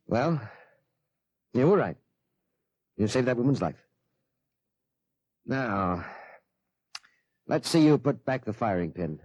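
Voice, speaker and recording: A man's voice: medium pitch, big pitch swings, slow, long pauses, darkish tone, slightly rough, exaggerated voice. An actor playing a genial, easily flustered middle-aged father in a 1950s radio drama. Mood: modest. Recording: dull, dry vintage radio recording.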